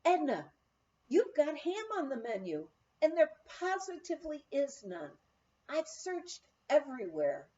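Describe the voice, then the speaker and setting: An exasperated woman cook, as a female narrator plays her in an audiobook memoir, complains in a high, emphatic voice that swings up and down. She scolds hard, and the recording is a little dull. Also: big pitch swings, a little slow, mellow. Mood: frantic.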